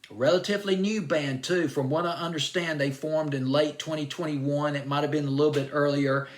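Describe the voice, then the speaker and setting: A man's voice: fairly high pitch, steady tone. A man with a Southern US accent hosting a music countdown show, talking about a song in a rambling, enthusiastic way. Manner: rambling and enthusiastic, with restarts and drawn-out phrases. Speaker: radio music show host. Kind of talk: chatty countdown commentary.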